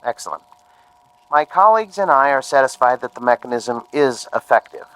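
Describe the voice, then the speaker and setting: Man playing lively-pitched, precise scientist. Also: a little fast, lightly acted, low-quality recording. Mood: probing, courteous. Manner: formal, precise, instructing. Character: polite, methodical male scientist examiner. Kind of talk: radio drama acting.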